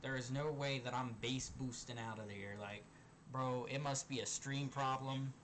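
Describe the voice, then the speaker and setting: A man's voice: medium pitch, fairly even intonation, normal speed, a bit bright, slight background noise. A young man streaming a game, chatting casually to viewers while fixing his mic setup. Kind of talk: casual gaming stream chat. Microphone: desk mic.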